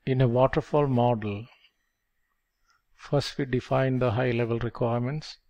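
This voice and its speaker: An older man teaches in a measured, methodical way, with a gravelly texture to his mid-pitched voice. He speaks into a headset microphone in a dim room, walking through each step with patient repetition. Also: steady tone, a little mellow. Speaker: project management instructor. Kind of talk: explanatory lecture. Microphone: headset mic.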